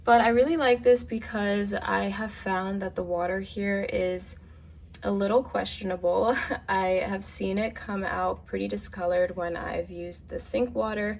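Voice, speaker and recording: A woman's voice: medium pitch, fairly even intonation, moderate pace, gravelly, slight background noise. A young woman vlogger chatting through her favorite things at home, a little congested from a cold. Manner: chatty, upbeat product recommendations. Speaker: lifestyle vlogger. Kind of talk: casual walkthrough vlog. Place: home apartment, kitchen.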